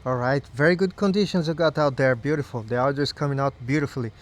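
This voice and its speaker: Male ham radio operator, mid-pitched, friendly and casual, spells in phonetic alphabet. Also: fast talker.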